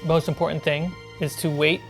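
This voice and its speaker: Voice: medium pitch, flat delivery, normal speed, faint music behind. A game master narrating a tabletop role-playing game to the players.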